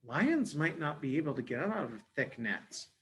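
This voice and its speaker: A man's voice: a little high, big pitch swings, moderate pace, fairly bright tone, slight background noise. A man voicing a small, polite mother mouse from a storybook, with a reassuring manner.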